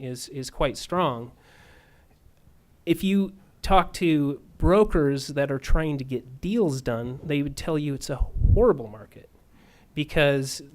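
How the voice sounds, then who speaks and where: A man answering audience questions on a real estate panel, hesitant and full of fillers and restarts. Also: a little high.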